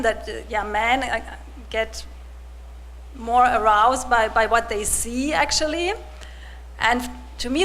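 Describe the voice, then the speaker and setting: A woman in her forties, from Germany, giving a personal testimony to a congregation in a soft-spoken, hesitant way with many restarts. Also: a little high, slight background noise. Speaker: church volunteer giving testimony.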